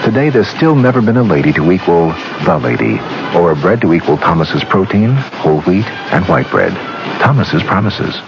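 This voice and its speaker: Old radio ad guy, lively pitch swings, music behind him. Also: moderate pace. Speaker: commercial announcer. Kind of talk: scripted radio advertisement. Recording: muffled old radio broadcast.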